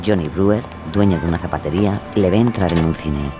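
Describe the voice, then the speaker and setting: Deep male documentary narrator over music. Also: a little fast.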